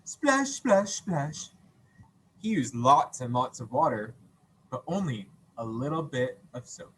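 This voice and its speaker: A man reading a children's story aloud as a teacher, playful and expressive, with acted sound effects and questions to listeners. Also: mid-range voice, slow, a little hiss or hum, low-quality recording.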